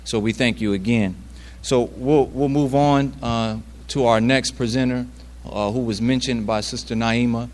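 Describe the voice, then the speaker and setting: A man hosting an event, thanking and introducing speakers informally in short, paused phrases. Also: mid-range voice, steady tone. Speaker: event emcee introducing presenters.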